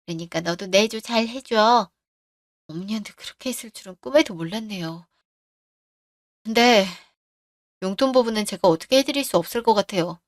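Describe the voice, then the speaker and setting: A woman's voice: a little high, no room sound, clean studio sound. A woman narrating a radio drama story as an anxious, pleading wife.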